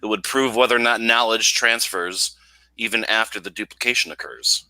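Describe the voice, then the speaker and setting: A man's voice: fairly low pitch, moderate pace, pushed and bright, clean tone, poor audio. A man playing a tabletop game, earnestly laying out a plan to the table. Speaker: player.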